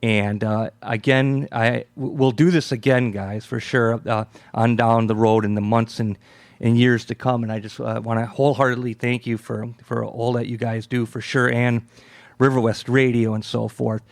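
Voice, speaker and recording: An American man hosting a live radio event, chatting off the cuff in a halting, repetitive, effusive way. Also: medium pitch, flat delivery, vocal fry. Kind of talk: casual, unscripted thanks and chat.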